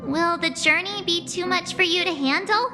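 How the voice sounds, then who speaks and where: A woman's voice: very high-pitched, moderate pace. A woman voicing a perky, caring little traveling companion in a video game. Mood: curious, musing. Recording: long reverb, game music behind.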